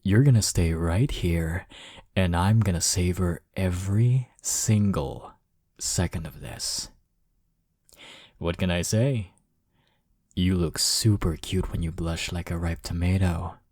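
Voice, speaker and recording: Deep-voiced, cocky flirtatious college rival acted by a man. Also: slow pace, no room sound, studio quality, heavily acted. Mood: low, teasing. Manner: smug, flirtatious, drawling teasing with soft chuckles. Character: cocky, teasing male college rival smitten with listener. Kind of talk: intimate romantic audio roleplay.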